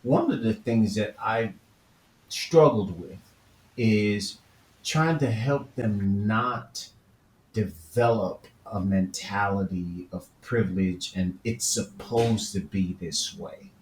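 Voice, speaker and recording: Low-voiced man in an echoey room. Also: slow pace, a little mellow. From Florida, USA. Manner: thoughtful, searching questions with frequent restarts. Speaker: podcast host. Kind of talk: conversational interview. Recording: echoey room with faint background noise.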